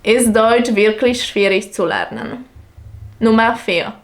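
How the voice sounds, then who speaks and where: A young woman whose first language is Polish explains German learning in careful, non-native German with a Polish accent, speaking deliberately as a teacher. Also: a little high. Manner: slow, careful, deliberate delivery with small grammar slips.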